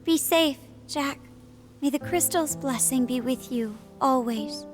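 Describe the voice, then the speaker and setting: A woman playing a gentle, earnest, devoted young princess in a video game cutscene. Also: high-pitched, slow pace, music in the background. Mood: tender.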